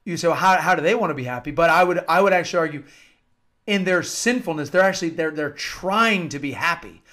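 High-voiced American man preaching earnestly. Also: fairly quick, sharp tone. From United States. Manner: earnest, emphatic, repeats phrases for emphasis. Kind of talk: informal devotional talk with scripture-like readings.

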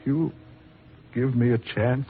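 A man's voice: medium pitch, steady tone, takes it slowly, soft background music, lightly acted. A man playing a damned wrongdoer, agitated and pleading in a melodramatic old radio drama. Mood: desperate pleading. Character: desperate wicked man newly damned, pleading. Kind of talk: vintage radio drama acting. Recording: muffled, noisy old radio recording.

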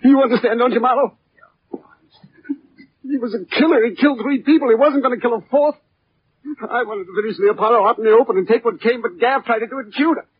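An actor playing an anguished brother turned reluctant avenger, emotional and pleading, in 1940s radio melodrama style. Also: male voice, extremely high pitch, quick pace, long pauses, slightly rough, dry recording. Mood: distraught. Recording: muffled, dull 1940s radio recording.

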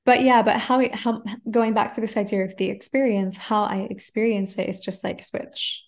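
A woman chatting as an interview guest, lively and animated, talking through quick self-corrections. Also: medium pitch. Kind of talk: casual conversation.

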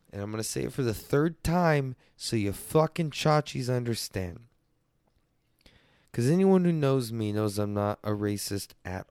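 A man's voice: mid-range voice, a little mellow, clear, resonant tone, no echo, studio quality. A man hosting a crude solo comedy podcast, rambling loosely and swearing freely. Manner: profane, loose and rambling, mock-defensive. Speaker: podcast host.

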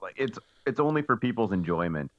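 A man's voice: on the low side, big pitch swings, fairly quick, no room sound, highs cut off. An easygoing man in casual gaming chat, often restarting his sentences.

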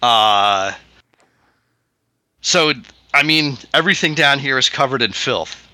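A man running a tabletop game as game master, narrating in a laid-back, hesitant way with many pauses. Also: medium pitch, lively intonation, bright, forceful tone.

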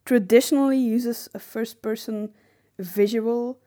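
A woman co-hosting a podcast, discussing film casually and analytically, often pausing mid-phrase to find her words. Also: a little high, fairly even intonation, warm tone. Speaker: podcast co-host.

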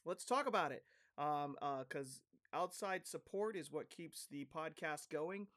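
A man with a hoarse voice hosting a podcast, talking in an upbeat, chatty way with plenty of fillers. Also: fairly high pitch, expressive, a little slow, a bit bright, no room sound, studio quality. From California. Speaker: podcast host. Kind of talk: casual podcast talk.

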